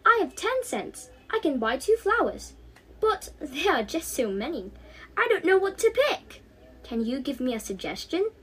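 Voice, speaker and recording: A woman's voice: high-pitched, very animated, normal speed, pitched above the performer's own voice. A teenage girl voicing a kind, devout young schoolgirl in a storybook, earnest and emphatic. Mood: eager.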